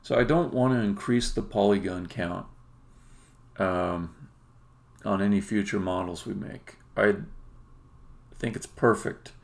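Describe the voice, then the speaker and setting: A man giving a casual live demo as a game developer, explaining things in halting phrases with pauses mid-sentence. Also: fairly low pitch, fairly even intonation, gravelly. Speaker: game developer, stream host.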